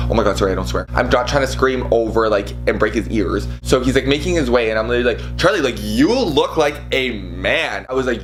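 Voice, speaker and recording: Campy young man telling a gushing story. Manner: over-the-top, campy, self-mocking; breaks into shrill shouted imitations. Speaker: vlogger. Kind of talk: comedic storytime monologue.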